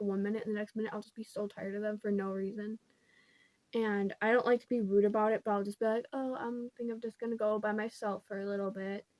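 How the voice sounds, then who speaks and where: A woman's voice: mid-range voice, darkish tone, no room sound. A young woman vlogger, congested, casually telling a rambling storytime at home, full of fillers like "like" and "pretty much".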